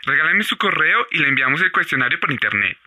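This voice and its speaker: Guy doing slick salesman, very sharp bright voice, swoopy intonation. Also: a little high, lively intonation, fast. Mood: salesy. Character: slick, eager gift-shop salesman. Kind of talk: radio soap acting.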